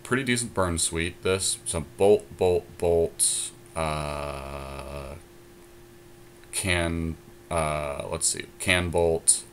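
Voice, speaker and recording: A man co-hosting a podcast, casually thinking aloud about building a deck, with many pauses and fillers. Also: low voice, fairly bright tone, slight background noise. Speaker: podcast co-host. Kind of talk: casual chat about deckbuilding.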